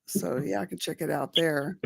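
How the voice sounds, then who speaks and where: A woman in her fifties in a casual recovery meeting chat, chatty and quick to laugh, asking follow-up questions. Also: deep voice, creaky, husky, dry recording. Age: 50s.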